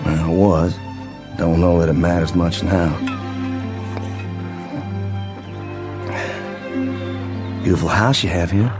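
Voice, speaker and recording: An actor playing a weary, courteous wounded sergeant with an American Southern accent and folksy, plain-spoken manner in a radio drama. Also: male voice, sits low, fairly even intonation, moderate pace, music in the background, natural voice. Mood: gentle, weary. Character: weary, courteous wounded Confederate sergeant walking home from war.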